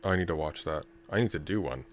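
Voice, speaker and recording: A streamer reacting to a video with offhand, casual asides. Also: male voice, sits low, lively intonation, quick pace.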